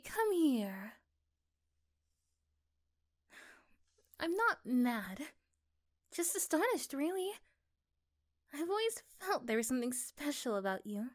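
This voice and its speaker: A woman playing a gentle, affectionate young girlfriend in an ASMR roleplay, speaking softly and hesitantly with tender pauses. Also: high-pitched. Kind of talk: ASMR roleplay, intimate one-sided dialogue.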